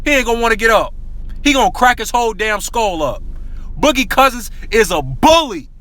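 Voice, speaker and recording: A man giving an excited, slangy basketball rant to his viewers, repeating himself often and saying he is losing his voice. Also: very high-pitched, fairly quick. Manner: excitable, repetitive, slangy, addresses viewers as y'all. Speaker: sports talk vlogger. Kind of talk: hyped casual basketball rant.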